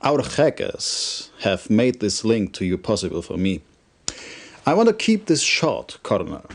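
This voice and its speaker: An actor playing a self-assured enemy colonel, speaking formally in short, deliberate phrases in a game cutscene. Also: male voice, fairly low pitch, huge pitch swings, full character voice. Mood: cool, commanding. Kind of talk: scripted game cutscene message.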